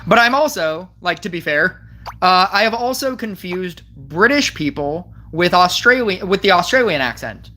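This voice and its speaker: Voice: mid-range voice, animated, normal speed, sharp tone. A game streamer chatting casually over mobile gameplay, giggly and rambling with lots of fillers. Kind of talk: casual gameplay commentary with chat.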